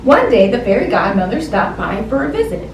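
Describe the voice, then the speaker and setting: A woman's voice: a little high, sing-song, a little fast. A woman storyteller reading a picture book to young children in a sing-song, child-directed way. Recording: roomy, distant mic, faint noise.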